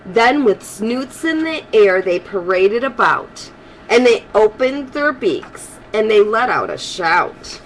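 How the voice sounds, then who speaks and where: Older woman reading a storybook, very expressive. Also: medium pitch, a little hiss or hum, rough recording. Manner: animated, pausing for emphasis. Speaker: grandmother reading to children. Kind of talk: expressive storybook read-aloud.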